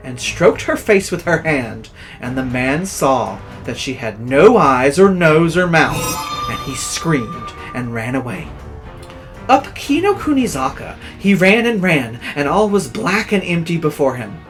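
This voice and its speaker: A male horror story narrator with a theatrical, scripted delivery. His pitch moves widely from phrase to phrase. Faint music runs underneath.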